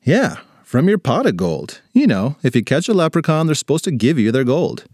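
A man's voice: a little high, sing-song, moderate pace, a little mellow, slightly rough, dry recording, studio quality. A man voicing a headstrong, sarcastic fifth-grade girl with a dramatic manner in a children's story. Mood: matter-of-fact. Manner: exasperated, dramatic delivery.

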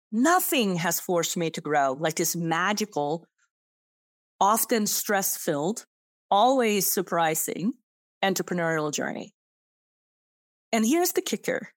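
A woman's voice: mid-range voice, no echo, studio quality. A middle-aged woman from Sweden with a Swedish accent, a non-native English speaker, hosting a solo podcast from her home office in an earnest, emphatic way. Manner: earnest, emphatic, rising on key words. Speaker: podcast host.

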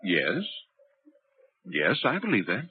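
Calm, doubting visitor in vintage radio drama, measured questioning with very varied intonation, dull recording. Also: male voice, fairly low pitch, heavily acted. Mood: calm, curious. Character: calm, sceptical male visitor questioning a storyteller. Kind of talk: 1940s radio drama acting. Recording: muffled, noisy 1940s radio recording.

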